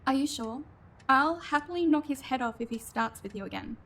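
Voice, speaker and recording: A woman's voice: high-pitched, lively intonation, fairly quick, fairly bright tone, slight background noise. A woman acting a feisty, blunt tabletop cleric player in a comedy audio drama. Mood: scolding. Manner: blunt, emphatic.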